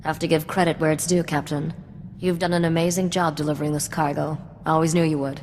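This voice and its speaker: A woman's voice: sits low, little pitch movement, fast talker, darkish tone, in a large hall, a little hiss or hum. A woman playing a guarded, confident young smuggler's associate in a video game, controlled and matter-of-fact. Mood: cool, businesslike.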